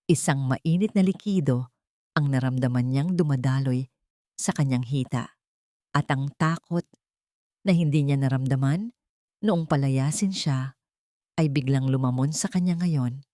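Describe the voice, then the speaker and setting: A woman's voice: extremely low pitch, a little slow, long pauses, warm tone, no echo, studio quality. A woman with a Filipino accent reading a scripted, dramatic story as narrator.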